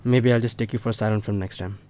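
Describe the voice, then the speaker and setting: A woman's voice: mid-range voice, a little fast, close to an everyday voice. A woman playing a moody, defensive young partner in a scripted drama, speaking bluntly. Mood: defensive, bitter. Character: hot-tempered young film-loving partner, moody and defensive.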